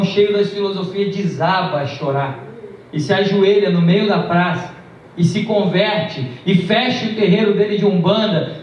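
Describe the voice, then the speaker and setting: A man's voice: sits high, a little hiss or hum. A man preaching a sermon, telling stories emphatically with a shifting pace. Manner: storytelling, emphatic, varying pace. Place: large church hall. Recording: distant, echoey, muffled church recording.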